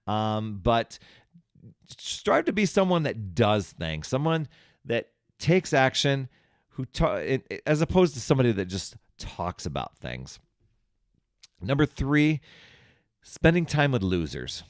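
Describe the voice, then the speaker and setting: A man's voice: mid-range voice, big pitch swings, slow pace, no echo, studio quality. A man hosting a solo podcast, talking in a casual, chatty, conversational way. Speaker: podcast host.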